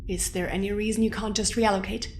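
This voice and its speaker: An actress plays a businesslike woman scientist with a medium-pitched voice. She is curt and reproachful, guarding her territory with blunt words. The audio drama track has slight noise behind her. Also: little pitch movement, fast talker, lightly acted.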